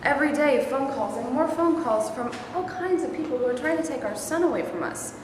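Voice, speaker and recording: A woman plays a worried, doting middle-aged mother in a stage play. Her voice is high and fretful, heard in a very echoey hall with clear noise. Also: expressive, a little fast. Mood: anxious.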